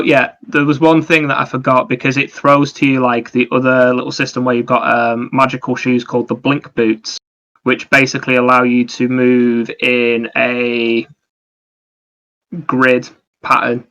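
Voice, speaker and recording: Male, gaming podcast co-host. Bright, sharp tone, little pitch movement, rambling explanations. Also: muffled recording. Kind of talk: casual gaming discussion.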